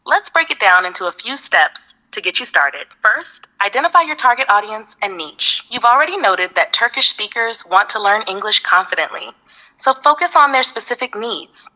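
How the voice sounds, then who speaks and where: Synthetic woman, AI assistant voice; bright tone, upbeat, evenly paced lists. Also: medium pitch, sing-song, moderate pace, poor audio. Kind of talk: scripted advice reading.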